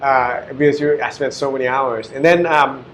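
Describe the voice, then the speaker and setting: A man's voice: mid-range voice, fairly even intonation, moderate pace, fairly bright tone, a little hiss or hum, rough recording. A young adult man, a guest talking casually in an interview, rambling and full of fillers. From United States. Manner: rambling, heavy on "like" and "you know". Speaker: guest, business owner.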